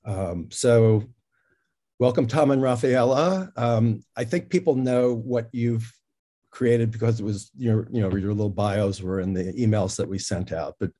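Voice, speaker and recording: Husky, mellow low-voiced man hosting. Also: unhurried. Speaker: interview host. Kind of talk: casual hosted conversation.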